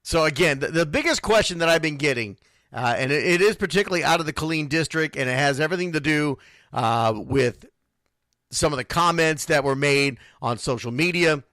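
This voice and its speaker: A man's voice: on the high side, fairly quick, pushed and bright, no echo. A man hosting an unscripted sports talk show, answering listeners in a blunt, emphatic way and repeating phrases for stress. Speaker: sports radio show host.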